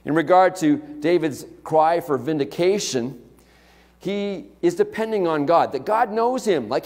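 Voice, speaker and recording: A middle-aged man preaches in a church sanctuary, his fairly high voice carrying a long hall reverb. He talks in a loose, conversational way, often checking in with the congregation. It sounds like a headset mic picked up from the stage. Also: darkish tone. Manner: conversational preaching with frequent "okay?" and "right?" asides. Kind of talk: sermon.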